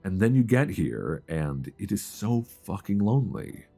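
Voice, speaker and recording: A man playing a wistful, homesick young friend with a secret crush in an intimate romantic audio roleplay, deep-voiced, confiding and hesitant, close on a binaural mic. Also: big pitch swings, a little slow, husky, exaggerated voice. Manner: hesitant, confiding, conversational with sighs. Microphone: close binaural microphone. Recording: close binaural recording with soft guitar music.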